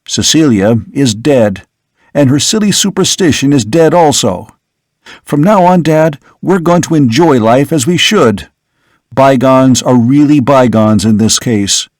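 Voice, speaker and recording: A man's voice: medium pitch, animated, unhurried, darkish tone, no room sound, studio quality, lightly acted. A man reading an earnest young son in an audiobook, who pleads insistently and with passion. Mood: forced cheer.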